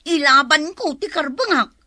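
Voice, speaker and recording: A middle-aged Ilocano wife played by a woman, fretful and suspicious of her husband. Her voice is bright and swings widely in pitch, and she complains with emphasis in comedy drama style. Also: animated, moderate pace, no echo, clean studio sound. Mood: complaining.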